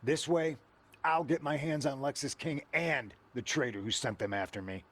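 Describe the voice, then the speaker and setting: A man's voice: medium pitch, normal speed, fairly bright tone, lightly acted. A man playing a determined, indignant wrestler bent on revenge in scripted video game dialogue.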